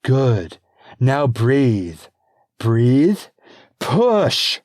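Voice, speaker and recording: A man's voice: mid-range voice, big pitch swings, extremely slow, darkish tone, dry recording, voice pushed up. A man voicing a female midwife who is firm and coaxing. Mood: commanding. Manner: coaxing, commanding.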